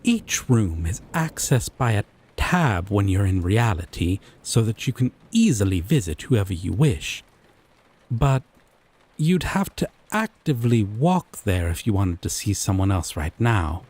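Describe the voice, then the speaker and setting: A man playing a gentle, possessive yandere boyfriend from a dating sim, speaking tenderly and coaxingly with deliberate pauses in a scripted roleplay. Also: fairly low pitch, very animated, breathy edge, exaggerated voice. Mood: calm, explanatory. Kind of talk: scripted roleplay audio drama.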